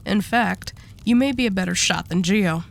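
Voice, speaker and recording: A woman's voice: mid-range voice, moderate pace, gravelly, a little hiss or hum, close to an everyday voice. A woman acting as a dominant female mafia boss in an intimate audio roleplay, composed, teasing and coolly seductive. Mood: persuasive. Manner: teasing, condescending endearments, composed.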